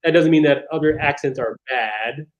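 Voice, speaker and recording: A man's voice: a little high, normal speed. An American man answering interview questions, explaining things step by step in a casual, thoughtful way. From United States. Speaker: guest. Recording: dull lo-fi sound.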